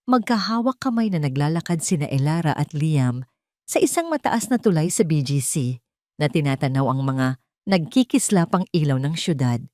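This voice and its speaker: A woman's voice: sits low, darkish tone, no echo, studio quality. A woman reading a scripted, dramatic romance story as narrator.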